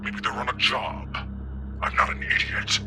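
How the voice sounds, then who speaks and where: A man voicing a stern, gruff gang boss in a tabletop game, in a breathy, rough growl, curt and prone to swearing. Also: measured pace, lightly acted. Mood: seething, disappointed. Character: seething gruff male gang boss in a gas mask. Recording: background music under the voice.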